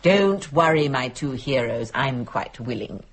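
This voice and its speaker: A brisk, sardonic take-charge woman in a radio play. Her questions are insistent and her pitch moves widely, over slight background noise. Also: animated, full character voice. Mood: brisk irony. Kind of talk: dramatic radio play acting.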